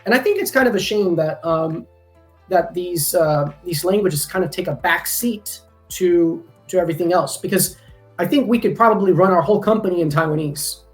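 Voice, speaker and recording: A man's voice: high-pitched, normal speed, soft background music. A man in a casual podcast conversation, talking at length with frequent ums and restarts. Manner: rambling, many ums and restarts. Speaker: guest, language company founder. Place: lives in Taiwan.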